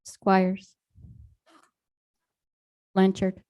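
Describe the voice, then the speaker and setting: A woman's voice: mid-range voice, completely flat delivery, very slow, dark tone. A woman working as a clerk, calling out short, terse items in a formal roll-call style. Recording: dull, lo-fi meeting audio.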